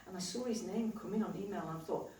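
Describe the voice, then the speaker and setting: A woman with a low contralto voice telling a story to a live audience, acting out what people said. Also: quick pace. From New Zealand. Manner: animated storyteller, acts out quotes. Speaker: healer and live event host. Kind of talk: chatty stage talk to an audience. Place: live event venue. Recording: echoey hall, heard from a distance.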